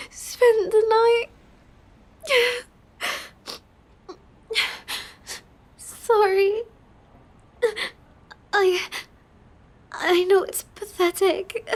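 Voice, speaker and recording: A woman playing a shy, sweet young villainess who feigns helplessness in a halting, apologetic way for an intimate roleplay audio. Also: very high-pitched, darkish tone, full character voice. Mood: tearful, distressed.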